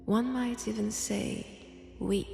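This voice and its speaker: Female vampire, acted by a woman, French accent, very slow, gravelly fry, soft taunting whispers, condescending, seductive menace, intimate audio drama. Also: fairly high pitch, steady tone, heavily acted. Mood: coaxing, commanding.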